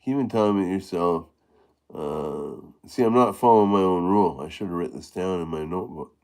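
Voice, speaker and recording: A middle-aged man with a low voice chatting casually about playing harmonica, halting and rambling with many fillers and restarts. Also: a little mellow. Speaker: harmonica player giving tips. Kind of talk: casual live-stream chat.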